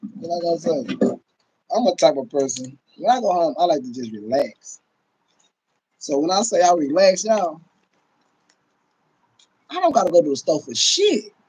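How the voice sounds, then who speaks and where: Husky, high-pitched, animated American man. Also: normal speed, long pauses, low-quality recording. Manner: blunt, profane, emphatic, repeats himself. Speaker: livestream host. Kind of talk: casual livestream rant to viewers. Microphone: phone or webcam at arm's length.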